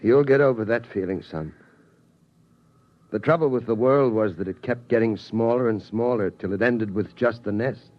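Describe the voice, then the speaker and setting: A man's voice: on the low side, moderate pace, close to an everyday voice. An actor playing a weary, wistful middle-aged father of a survivor family in a 1950s radio drama, speaking hesitantly. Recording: dull old radio broadcast recording.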